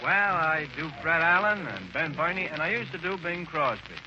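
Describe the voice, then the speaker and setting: A man's voice: on the high side, lively intonation, moderate pace, over music. A man, a guest impressionist, trading 1930s radio comedy banter. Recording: noisy, dull 1930s radio recording.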